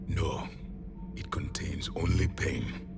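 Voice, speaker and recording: A man's voice: a bass voice, takes it slowly. A man playing a stern, vengeful alien warrior in a video game, the last of a fallen empire, speaking in grave, disdainful, formal phrases. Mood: resolute. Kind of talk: dramatic video game acting. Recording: loud music behind the voice. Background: loud music.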